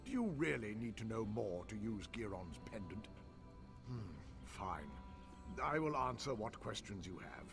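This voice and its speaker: An actor playing a scholarly, impatient fantasy-game mage who muses pedantically and talks down to people. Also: male voice, lowish voice, lively intonation, a little slow, creaky, over music. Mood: condescending. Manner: pedantic, musing, condescending. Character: scholarly elf mage, fascinated by spirits, impatient. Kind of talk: video game character acting.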